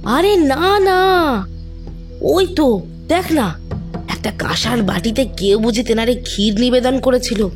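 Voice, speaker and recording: A woman playing a credulous, excited neighbourhood aunt in an audio drama, gossipy and full of awe. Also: on the high side, takes it slowly, long pauses, faint music behind. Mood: awed, excited. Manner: animated, gossipy, awed. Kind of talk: Bengali audio drama acting.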